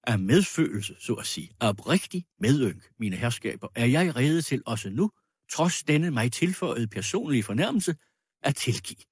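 A man voicing a pompous, sanctimonious gentleman in a storybook reading, with formal oratory. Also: mid-range voice, big pitch swings, breathy edge, no room sound, studio quality. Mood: magnanimous. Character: pompous, sanctimonious gentleman accuser, self-righteous and smooth-talking.

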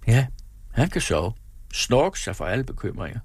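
A man's voice: medium pitch, big pitch swings, breathy edge, dry recording. A man voicing a weary, bitter, commanding wife and mother in a story. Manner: terse, scornful, soothing by turns.

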